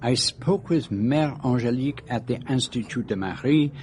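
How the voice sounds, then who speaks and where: An actor playing a proud, middle-aged Belgian detective in a radio drama, with a French-speaking Belgian accent and a formal, deliberate manner. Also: male voice, medium pitch, a little mellow, a little hiss or hum, lightly acted. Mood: intent. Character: precise, proud Belgian detective, brooding then urgent.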